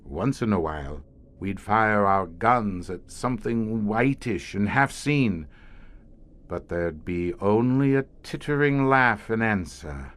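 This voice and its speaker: An actor playing a haunted, learned middle-aged scientist who guards monster-ridden tunnels, speaking in a grave, florid, theatrical way for an audio horror drama. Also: male voice, on the low side, expressive, a bit bright. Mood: haunted, despairing. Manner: grave, florid, dramatic storyteller with weighty pauses.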